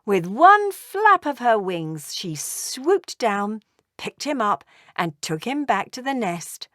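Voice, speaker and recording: Slow, animated woman storyteller. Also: fairly high pitch, no room sound, clean studio sound. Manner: expressive, deliberate storytelling. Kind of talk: scripted children's story narration, read aloud.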